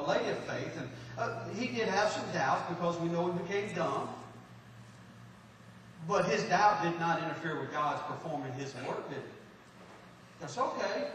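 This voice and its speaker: Texan man, pastor, high voice, folksy sermon with stumbling restarts, heard from afar in a booming church hall. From Texas, USA. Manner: folksy, repeats and restarts words, loud-soft swings. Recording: echoey hall from far off, faint noise.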